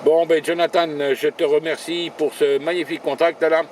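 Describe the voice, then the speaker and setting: A man's voice: fairly high pitch, flat delivery, normal speed, slight background noise. A man chatting casually as an amateur radio operator, using call signs and jargon and repeating words for emphasis. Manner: repeats words, often ends phrases with "là". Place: inside a car, mobile radio station.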